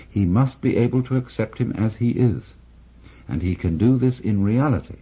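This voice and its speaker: A middle-aged man on a 1960s radio show, reading a philosophical text aloud in a measured, reflective way with long pauses. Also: fairly low pitch, husky. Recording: dull lo-fi 1960s radio recording.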